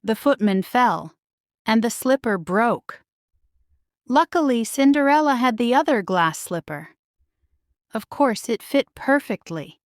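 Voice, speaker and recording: A woman narrating a children's storybook in a measured, expressive, scripted read-aloud style. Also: on the high side, no room sound, clean studio sound. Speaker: storybook reader.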